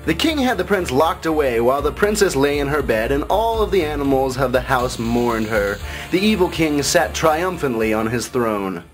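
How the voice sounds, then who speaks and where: A man's voice: medium pitch, sing-song, normal speed, few pauses, soft background music, rough recording. A man reading a scripted fairytale as a storybook narrator.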